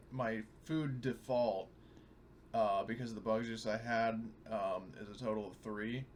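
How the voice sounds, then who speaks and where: Lower-voiced man over clear background noise. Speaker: player in a tabletop game. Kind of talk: casual chat. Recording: noisy room ambience behind voice.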